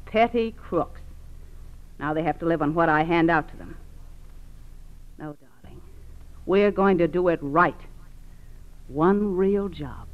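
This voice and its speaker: An actress with an American accent playing a scheming, domineering wife of a crook in 1940s radio style, coaxing and commanding by turns. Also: female voice, on the low side, slow pace, long pauses. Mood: driven, ambitious. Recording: tinny old radio broadcast recording.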